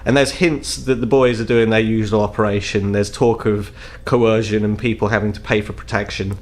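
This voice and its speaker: A man's voice: lowish voice, fairly even intonation, moderate pace. A man working as game master, casually narrating scenes and prompting players in an easygoing, conversational manner. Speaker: game master of a tabletop role-playing game.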